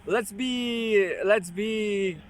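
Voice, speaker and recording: A man hosting his own channel, casually reading an article aloud with halting restarts and joking asides. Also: sits high, a little hiss or hum.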